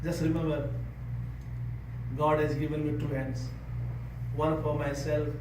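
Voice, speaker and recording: A man's voice: a little high, level tone, measured pace. A man from Nepal with a Nepali accent, giving an earnest speech to a service club and repeating words for emphasis. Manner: earnest, repeats words for emphasis, leads a call-and-response chant. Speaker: guest speaker. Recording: echoey room, speaker far from the mic, noisy.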